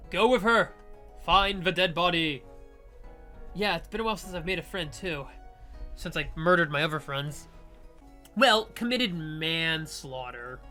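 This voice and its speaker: A woman's voice: on the low side, expressive, a little slow, pauses often, bright, forceful tone, soft background music. A woman hosting a let's play, giving casual, rambling, jokey commentary on a visual novel. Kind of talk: casual game commentary with character reading.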